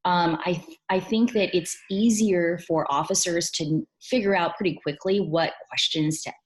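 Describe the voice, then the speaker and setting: A woman whose first language is Mandarin Chinese speaks in a low voice, explaining things carefully as an interview guest. She talks in a measured way, often pausing on "um" and "you know". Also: poor audio. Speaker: immigration attorney and former consular officer. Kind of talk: interview answers.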